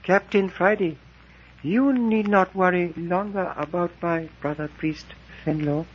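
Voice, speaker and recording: A man's voice: sits high, breathy edge. A man playing a wounded Cambodian priest in a 1940s radio drama, speaking formally with solemn grief. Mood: solemn, grieving. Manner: slow, formal, stilted speech. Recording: muffled vintage 1940s radio recording.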